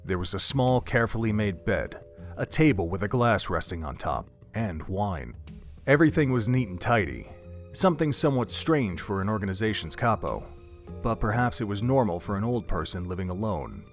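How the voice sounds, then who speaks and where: Man, audio drama narration, lowish pitch, measured storytelling, background music. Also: very animated.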